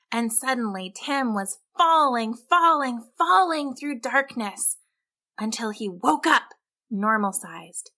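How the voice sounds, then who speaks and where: Female storyteller for kids' fiction podcast: highish, bright voice, expressive scripted narration.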